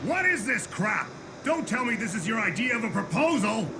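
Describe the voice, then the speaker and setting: An actor playing a greedy, bullying, hot-tempered TV producer in an anime dub, bossy and theatrical. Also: male voice, high voice, big pitch swings, moderate pace. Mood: angry, bullying. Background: music.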